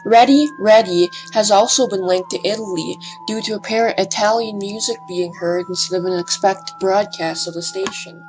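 A woman reads scripted documentary narration at a medium pitch. Her delivery is measured but a touch hesitant, with a slight breathlessness in places. Faint music runs underneath her voice. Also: darkish tone, poor audio.

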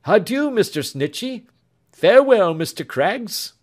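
A man's voice: high voice, sing-song, measured pace, a little mellow, voice pushed up. An older-sounding male reader voicing an earnest, affectionate young man, speaking eagerly and with feeling. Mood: fervent.

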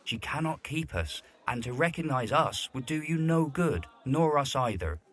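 A man's voice: mid-range voice, expressive, moderate pace, fairly bright tone, slightly rough, faint music behind. An actor playing a middle-aged, fatherly but irritable butler in a Victorian audio drama, with formal, precise phrasing. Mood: fond, earnest. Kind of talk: period audio drama acting.

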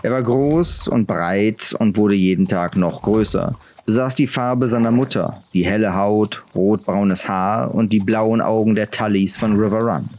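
A man's voice: on the low side, measured pace, hardly any pauses, darkish tone, slight background noise. A man reading a scripted fantasy story aloud as an audiobook narrator.

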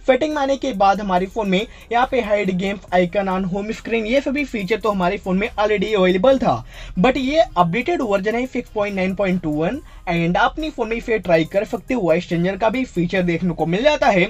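A man's voice: high voice, animated, normal speed. A man hosting a tech channel, giving a casual tutorial voice-over and mixing English phrases into his speech.

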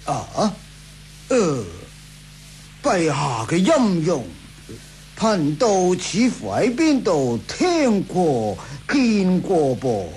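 A man playing a sly old Taoist priest in a radio drama, flattering and theatrical with drawn-out words. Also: high-pitched, a little hiss or hum, full character voice. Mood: sly flattery. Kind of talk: Cantonese radio drama acting, 1980s.

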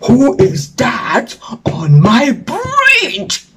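A woman voicing a grumpy, bossy crocodile who guards a bridge and talks slowly and gruffly. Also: high voice, huge pitch swings, background noise, pitched above the performer's own voice, heavily acted.

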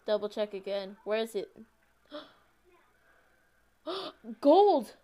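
A woman chatting casually while playing a game, excitable and given to exclaiming. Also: fairly high pitch, very slow. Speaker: gamer. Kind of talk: casual gameplay chat.

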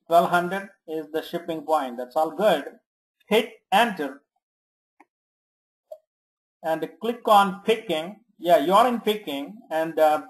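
A software trainer giving step-by-step instructions in short commands, checking in often during a screen tutorial. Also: mid-range voice, rough recording. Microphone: screen-recording voice-over.

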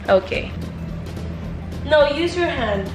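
A woman's voice: high-pitched, expressive, takes it slowly, music in the background. A young woman vlogging at home, chatting in English and Tagalog while filming her toddler and cooking, laughing often. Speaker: family vlogger. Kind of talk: casual home vlog. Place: apartment kitchen and living room.

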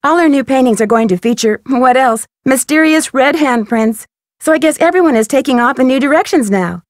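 A curious young female detective from an adventure video game. Her voice sits fairly high and she speaks articulately, with polite, inquisitive poise. The sound is very dry, with no room around it. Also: expressive, a little fast, dry recording. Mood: bright, reflective. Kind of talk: video game voice acting, scripted narration and dialogue.

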